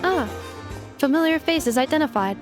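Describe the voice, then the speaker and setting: A woman's voice: high-pitched, expressive, moderate pace. A woman voicing a polite, literal-minded robot helper in an anime game, formal and precise. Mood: courteous, composed. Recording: game music behind the voice.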